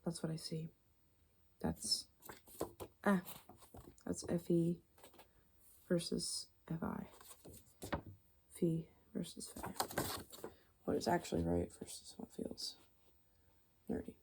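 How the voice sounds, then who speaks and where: A woman doing soft, rambling ASMR, musing hesitantly as she thinks aloud, her voice fading toward whispers. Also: lowish voice, little pitch movement, takes it slowly, vocal fry, long pauses, noisy background. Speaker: ASMR video creator.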